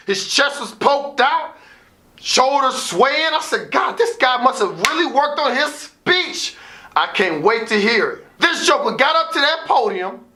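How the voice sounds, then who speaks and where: A man's voice: extremely high pitch, bright, forceful tone. An American man telling a personal story like a motivational speaker, theatrical, with emphatic repetition and acted-out dialogue. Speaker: motivational storyteller and student athlete advisor.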